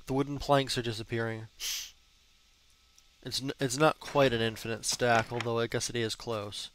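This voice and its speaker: Young man, early twenties, stuffy-nosed, rambling gameplay chat with swearing and fillers, mid pitch, faint background hiss. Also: little pitch movement, normal speed, a bit bright, poor audio. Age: young adult. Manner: chatty, cursing, rambling with fillers. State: congested, stuffy nose. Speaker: gaming channel host. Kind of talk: casual gameplay commentary.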